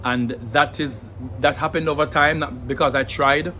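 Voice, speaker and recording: A man's voice: fairly high pitch, steady tone, normal speed, pushed and bright, slight background noise. Jamaican man explaining at an easy pace. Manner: thoughtful, with many fillers and repeated words. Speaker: language vlogger. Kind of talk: casual explanatory talk to camera.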